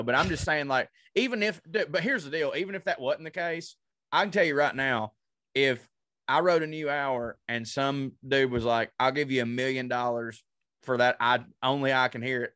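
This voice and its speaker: A man in his thirties co-hosting a podcast, talking excitably and profanely with lots of laughs over a video call. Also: medium pitch, no room sound, studio quality. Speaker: podcast co-host. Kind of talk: casual chat. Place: small home room. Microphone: headphones, desk mic on video call.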